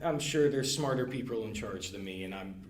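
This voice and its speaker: A man who is a podcast guest, talking casually and hesitantly, with many pauses and ums. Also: fairly low pitch, crowd noise. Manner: hesitant, lots of ums and hedging. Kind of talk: casual chat. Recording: echoey room.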